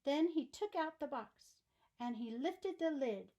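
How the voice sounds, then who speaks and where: High-voiced, slow-paced woman reading a storybook. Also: lively intonation, mellow, muffled recording. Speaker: storybook reader for children. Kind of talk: expressive children's read-aloud narration.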